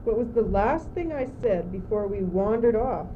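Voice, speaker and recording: A woman's voice: mid-range voice, animated, normal speed, noisy background, natural voice. A teenage girl playing a gloomy, confused, doubting young courtier in an amateur school radio play, plaintive and theatrical, on an old muffled recording. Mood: doubtful. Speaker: student actor.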